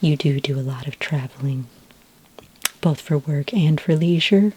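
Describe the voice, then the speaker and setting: A woman playing a patient, reassuring sci-fi skincare consultant in a soft-spoken, half-whispered ASMR roleplay, gentle and a little hesitant. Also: low voice, slightly rough, rough recording. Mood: attentive, polite. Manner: gentle, hesitant, unhurried, polite questioning.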